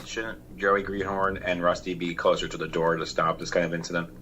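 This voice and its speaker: A man's voice: sits low, completely flat delivery, brisk, sharp tone, muffled recording, a little hiss or hum. A man playing a tabletop roleplaying game, talking casually and methodically through his character's moves. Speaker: player.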